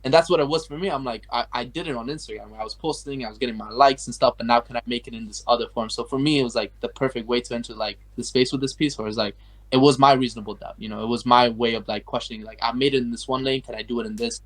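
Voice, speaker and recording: A young man from Afghanistan talks at a quick clip in a mid-range voice. He rambles through his story as an interview guest, stringing thoughts together with constant fillers. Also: mid-range voice, steady tone, a bit bright. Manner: rambling, heavy use of like and you know. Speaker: guest artist. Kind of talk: casual interview chat.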